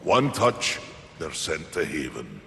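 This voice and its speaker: A man playing a gruff, patient giant maker who guards humanity in a video game, solemn and wry. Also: rumbling low, sing-song, bright, forceful tone, full character voice. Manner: solemn, measured, wryly amused. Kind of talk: video game cutscene acting. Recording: echoey hall, faint background noise.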